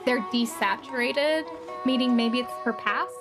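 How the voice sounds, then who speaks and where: Higher-pitched woman reacting over music. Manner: halting, thinking aloud, interjecting. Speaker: reaction video streamer. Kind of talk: excited reaction commentary, casual analysis. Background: music from the watched video.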